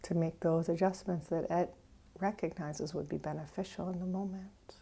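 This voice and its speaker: A woman meditation teacher leading a guided meditation gently, with pauses, often trailing off into a breathy near-whisper. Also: on the low side, steady tone. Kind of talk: guided meditation, unhurried and instructive.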